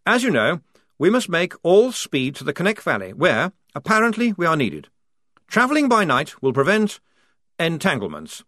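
A man's voice: a little high, huge pitch swings, normal speed, bright, forceful tone, dry recording, studio quality, voice pushed up, heavily acted. A man voicing a fussy, nervous young army lieutenant who stammers and frets politely. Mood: hesitant.

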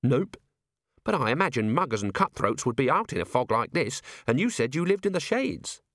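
A man doing a cool calm young watchwoman. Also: medium pitch, moderate pace, no echo, studio quality, voice pushed up.

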